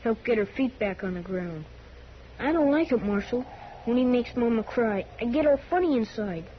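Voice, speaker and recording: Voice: mid-range voice, animated, moderate pace, close to an everyday voice. A performer playing an earnest eight-year-old farm boy with folksy, rustic country talk, in 1950s radio western style. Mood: earnest, troubled. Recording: muffled, faintly noisy vintage radio recording.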